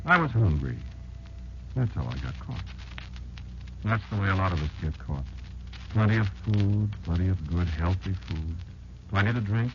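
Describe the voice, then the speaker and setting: Very deep-voiced, wary old creature. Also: male voice, lively intonation. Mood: reminiscing, uneasy. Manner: confiding, folksy, deliberate dramatic pauses. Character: wary old insect elder telling a child grim survival tales. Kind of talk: old-time radio drama monologue. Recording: dull lo-fi old radio recording. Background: prominent music.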